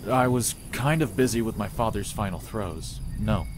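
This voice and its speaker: A man's voice: fairly low pitch, normal speed, noisy background, lightly acted. A man playing a dry, matter-of-fact, well-travelled village inventor in a visual novel. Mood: dry, unbothered. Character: dry, worldly village man, self-taught showman inventor.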